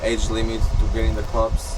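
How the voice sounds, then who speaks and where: Interview guest, man in his twenties, native Albanian speaker. Slangy and halting, monotone delivery, very noisy recording. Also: lowish voice. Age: 20s. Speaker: interview guest, club promoter and artist. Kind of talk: casual interview answers.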